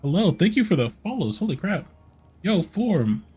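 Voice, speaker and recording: A man chatting casually on a gameplay livestream in a halting way, often repeating his phrases. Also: sits high, big pitch swings, dark tone, slightly rough. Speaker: live streamer, VTuber. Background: game music.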